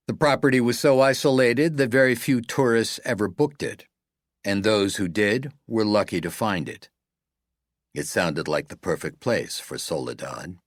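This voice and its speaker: A man reading a novel as an audiobook narrator, in a measured, deliberate way with falling phrase endings. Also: fairly low pitch, no echo, studio quality.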